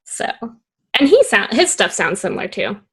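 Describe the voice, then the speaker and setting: A woman's voice: medium pitch, huge pitch swings, moderate pace, vocal fry, fairly bright tone, husky. A young adult woman co-hosting a podcast, chatting casually with friends, laughing often and using many fillers. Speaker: podcast co-host. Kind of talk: casual chat.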